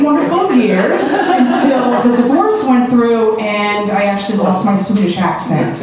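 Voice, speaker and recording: A woman performing a stand-up comedy set with a brash, punchy delivery and crude punchlines. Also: fairly high pitch. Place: small comedy club stage. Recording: distant echoey club recording with background noise.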